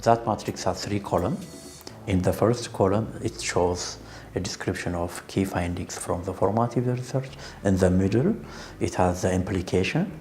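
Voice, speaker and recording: A man's voice: low voice, darkish tone. A man explaining a program in a documentary interview. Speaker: project team member explaining a radio drama program. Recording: music bed under interview. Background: music.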